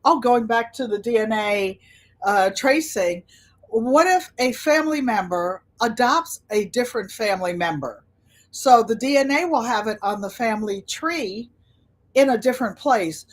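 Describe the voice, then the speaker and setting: A woman hosting a casual livestream chat in an unhurried, rambling way, drifting between her own talk and replies to viewers. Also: fairly high pitch, expressive. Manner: unhurried, rambling, easily sidetracked. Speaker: livestream host, quilter.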